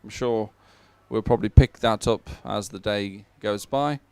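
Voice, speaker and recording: Clear-voiced man presenting live sports coverage. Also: on the low side, a little slow, a little mellow, no echo. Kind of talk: live commentary, announcing results and schedule. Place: open-air commentary position.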